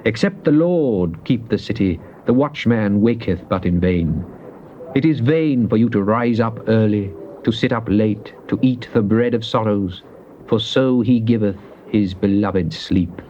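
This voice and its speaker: A man giving a dramatized recitation of psalms, solemn and declamatory, with drawn-out emphasis. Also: fairly low pitch. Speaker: scripture reader. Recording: muffled, noisy old cassette tape.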